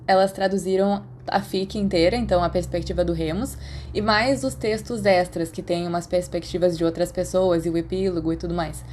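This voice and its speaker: A woman's voice: medium pitch, a little mellow. A Brazilian woman speaking as the narrator of a fan fiction podcast. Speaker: podfic narrator. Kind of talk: expressive audiobook narration and casual chat to listeners.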